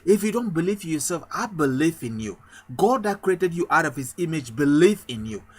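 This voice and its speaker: Husky Cameroonian pastor preaching. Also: male voice, fairly high pitch, big pitch swings, normal speed. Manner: repetitive, emphatic rallying cadence. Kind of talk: motivational sermon.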